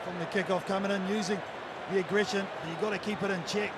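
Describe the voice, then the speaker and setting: A man's voice: sits high, steady tone, fast. A man giving expert rugby sevens commentary, chatting through tactics and talking directly to his commentary partner. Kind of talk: live rugby sevens analysis. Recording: commentary over loud stadium noise.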